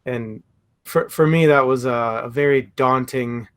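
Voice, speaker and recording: A man's voice: mid-range voice, a little slow, clean tone. A young man co-hosting a casual book talk, hesitant with many fillers and self-mocking humor. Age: young adult. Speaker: book podcast co-host.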